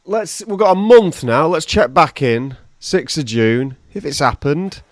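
A man's voice: on the high side, animated, unhurried. A man co-hosting a podcast, chatting casually and joking and teasing his co-host. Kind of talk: casual chat.

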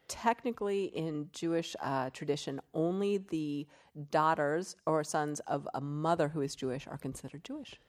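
A woman from Florida joins a podcast as a guest author and talks into a studio microphone. Her voice is notably low, and she speaks with bubbly enthusiasm and laughs easily. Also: moderate pace, a little mellow. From Florida, USA. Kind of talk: casual interview chat.

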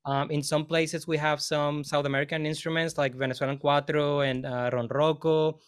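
A man speaks as a film composer on a discussion panel. His voice is smooth and resonant, pitched a little high for a man, and he meanders through his answers with lots of fillers and restarts. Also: level tone, moderate pace. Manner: rambling, many fillers and restarts. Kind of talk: casual panel talk.

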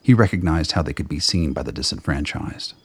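A man narrating a scripted radio drama in a measured, literary storytelling manner. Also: rumbling low, lively intonation, husky, no room sound.

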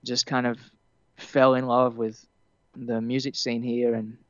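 Australian man with a softened accent. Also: mid-range voice, flat delivery, measured pace, no echo, muffled recording. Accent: Australian, partly Americanized. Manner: rambling, many fillers and restarts, laughs easily. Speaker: musician guest. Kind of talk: casual interview chat.

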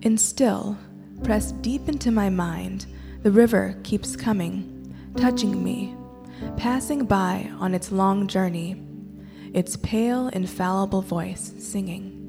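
A woman reciting a poem to a gathering, with deliberate, measured phrasing. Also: medium pitch, gravelly, over music. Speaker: poetry reader at church. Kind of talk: poetry recitation. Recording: echoey hall.

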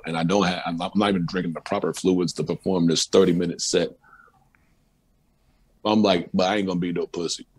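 A man, a musician guest, telling stories in a loose, profane, animated way on a casual talk show. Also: low-pitched, husky. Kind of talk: casual storytelling interview.

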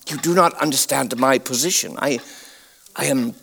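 An actor playing a proud, troubled Victorian doctor, genteel and theatrical with a halting delivery, in an audio drama. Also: male voice, medium pitch, vocal fry, fairly bright tone, husky. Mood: pained, evasive.

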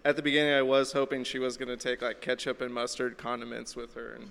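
A man chatting casually and haltingly in a group discussion. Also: medium pitch, completely flat delivery, gravelly, fairly bright tone, big-room sound. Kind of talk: casual panel chat.

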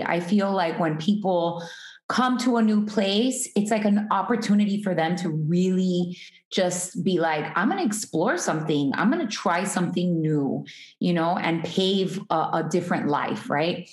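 A woman's voice: fairly low pitch, lively intonation, normal speed, darkish tone. A woman from Miami, a guest in an interview, chatting about her life with frequent ums, restarts and easy laughter. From Miami, USA. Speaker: guest, artist and entrepreneur. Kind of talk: casual interview conversation. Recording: roomy echoey sound.

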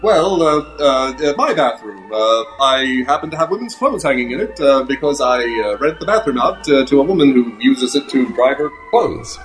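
A man's voice: mid-range voice, animated, normal speed, hardly any pauses, faint music behind, natural voice. Roomy-sounding man as a melodramatic movie heartthrob. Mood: flustered, evasive. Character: flustered male movie heartthrob, amorous, guiltily evasive. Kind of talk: improvised old-time radio drama parody.

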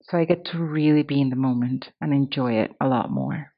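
A woman's voice: rumbling low, brisk, slightly rough. Woman podcaster, dull muffled audio. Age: 30s. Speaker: podcast host. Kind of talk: scripted podcast narration with personal commentary.

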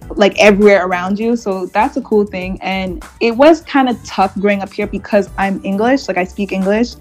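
A woman's voice: mid-range voice, darkish tone. A woman in her twenties from Montreal with a Canadian accent, chatting candidly and casually as an interview guest. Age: 20s. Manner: chatty, candid, laughs easily. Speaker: interview guest, gospel singer.

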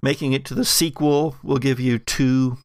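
A man narrating a tabletop horror game as game master, setting scenes theatrically with deliberate pauses. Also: mid-range voice, fairly even intonation, dry recording, clean studio sound. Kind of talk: narrating an actual-play horror roleplaying game.

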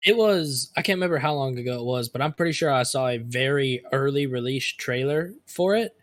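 A young man chatting on a podcast, lively and enthusiastic. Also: medium pitch, a little fast, dry recording. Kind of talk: casual podcast chat.

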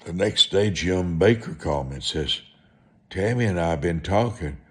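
A man preaching in a personal testimony style, folksy and deliberate, repeating phrases for emphasis. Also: deep voice, lively intonation, a bit bright. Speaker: preacher, evangelist.

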